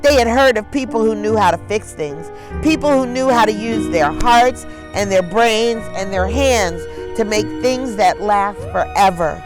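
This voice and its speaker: A woman narrates a children's picture book at an unhurried pace. Her mid-pitched voice is expressive, with measured phrasing and dramatic pauses. Quiet music plays behind her. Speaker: children's storyteller and host.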